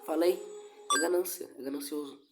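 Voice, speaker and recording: A woman's voice: low-pitched, normal speed, loud music behind the voice. A woman giving casual, off-the-cuff reaction commentary.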